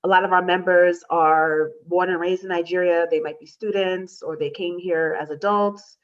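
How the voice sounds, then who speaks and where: A woman's voice: on the low side, steady tone, normal speed. An American woman in her thirties explaining her community work as a panelist on a video call. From United States. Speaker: panelist, community organization founder. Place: room indoors, video call. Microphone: computer mic on a video call. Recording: slightly dull video-call audio.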